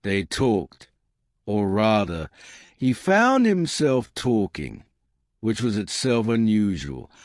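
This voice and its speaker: A man reading a historical romance as scripted narration, in measured, deliberate, literary phrasing. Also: lowish voice, very animated, no echo, clean studio sound. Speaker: audiobook narrator.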